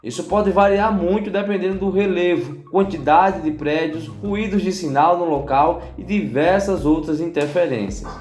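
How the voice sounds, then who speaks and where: High-pitched Brazilian man, roomy sound. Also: moderate pace, few pauses, darkish tone, faint music behind. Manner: instructional, addresses viewers directly with frequent check-in tags. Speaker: gadget reviewer and channel host. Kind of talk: casual tutorial voice-over.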